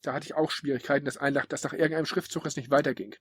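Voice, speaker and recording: A man's voice: a little high, fairly even intonation, racing, breathy edge, no room sound, studio quality. A man hosting a casual podcast, talking in an opinionated, emphatic, colloquial way. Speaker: podcast host presenting a ranking. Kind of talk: casual retro-gaming podcast talk.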